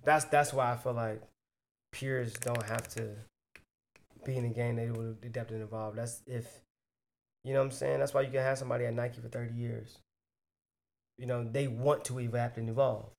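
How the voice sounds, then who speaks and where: A man's voice: mid-range voice, fairly even intonation, normal speed, vocal fry, long pauses, a little mellow. A man from the US East Coast, a guest on a podcast, chatting casually and arguing his point by repeating words for emphasis. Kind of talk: casual chat.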